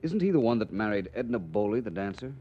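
A suave gentleman sleuth on muffled old-time radio. His voice is low and very expressive, with an urbane, musing delivery and dry wit. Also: fast, a little hiss or hum. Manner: urbane, musing, dryly witty. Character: suave, pensive male amateur detective. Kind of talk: 1940s radio drama acting. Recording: dull vintage 1940s radio recording.

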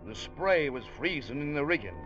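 A sensible ship's officer in a 1940s sea adventure on radio, earnest and dramatic. The sound is dull and vintage, with music clearly behind it. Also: male voice, a little high, lively intonation, moderate pace, fairly bright tone. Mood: grim, dramatic. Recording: muffled old radio drama recording.

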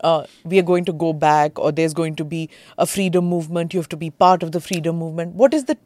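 A woman's voice: deep voice, fast talker, darkish tone, no room sound. A woman hosting an interview, asking guided questions and keeping her guest going with short murmurs of agreement. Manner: prompting, frequent backchannel "hmm". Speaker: podcast host.

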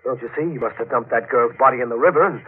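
A man's voice: medium pitch, racing, husky, lightly acted. Man playing urgent young American bridegroom, tinny old radio. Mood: tense, reassuring. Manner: urgent, clipped commands and reassurances. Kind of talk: 1940s radio thriller acting.

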